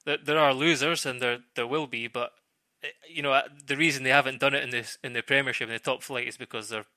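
A man talks through football matters off the cuff with a very bright, forceful voice. He halts and repeats himself as he searches for words. The sound is close and dry, with mid-range pitch. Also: steady tone, fast talker, no room sound. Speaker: football pundit. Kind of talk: discussion, off-the-cuff analysis.

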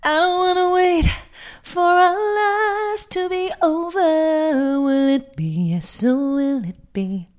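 A woman's voice: extremely high pitch, animated, slow pace, few pauses, soft background music. A woman co-hosting a casual podcast, teasing her partner and humming TV theme tunes with lots of giggles. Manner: playful, giggly, bossy teasing. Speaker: podcast co-host.

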